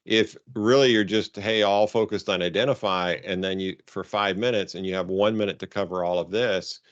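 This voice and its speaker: A man with a very deep voice gives informal guidance to coaches. He speaks haltingly and rephrases for emphasis. The sound is dull, like a conference call, and quite dry. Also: steady tone, no room sound. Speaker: robotics coaching advisor leading a conference call.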